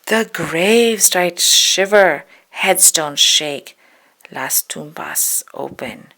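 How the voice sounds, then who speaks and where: A woman reading a spooky children's poem aloud in a dramatic, hushed storytelling manner, stretching words and dropping into whispers. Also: fairly low pitch, animated, drawn-out words, vocal fry. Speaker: storybook reader.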